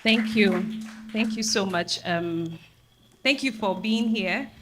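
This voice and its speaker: A woman's voice: medium pitch, slow, noisy background. A woman with a Ghanaian accent giving an informal thank-you speech on stage as a theatre director.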